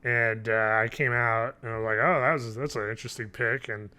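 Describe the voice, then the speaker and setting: Young male podcast co-host, bright voice, mid pitch, loose sweary slang, casual banter. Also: fairly even intonation, brisk. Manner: profane, slangy. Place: living space at home, indoors. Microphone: desk microphone, on video call.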